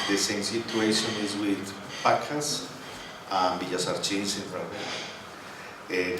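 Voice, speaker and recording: A man giving a conference lecture and then answering questions, halting and restarting as he searches for words. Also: low voice. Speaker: invited lecturer on coffee breeding. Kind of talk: conference presentation and panel answers. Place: conference hall. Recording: echoey hall, from far off, with clear background noise.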